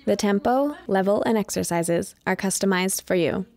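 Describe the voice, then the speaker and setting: A woman reading a scripted informational voice-over in an even, measured way. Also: medium pitch. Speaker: voice-over narrator and interpreter.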